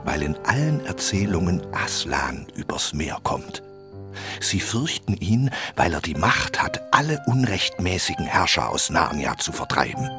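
An old, kindly half-dwarf tutor in a children's radio play. The voice is extremely low and slow, solemn and wistful, with clear music behind it. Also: male voice, sing-song, exaggerated voice. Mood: earnest. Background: clear background music.

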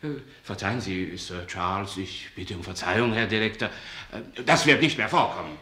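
An actor playing a flustered, grumbling zookeeper in a radio play, speaking haltingly. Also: male voice, lowish voice, bright, forceful tone. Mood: flustered, apologetic. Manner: halting, muttering, apologetic to superiors. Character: flustered working-class zookeeper, grumbling yet servile. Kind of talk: German radio play acting. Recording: roomy, reverberant studio scene.

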